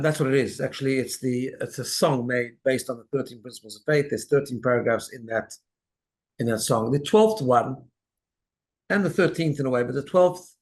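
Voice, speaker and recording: A man's voice: mid-range voice, a little fast. A man speaking as a rabbi and co-host, teaching religion conversationally and explaining step by step.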